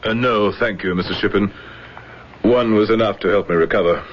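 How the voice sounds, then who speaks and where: An actor in an old radio drama playing a proud, embittered, ailing army general, grave and formal. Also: male voice, lowish voice, moderate pace, natural voice. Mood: bitter. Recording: muffled, noisy old radio recording.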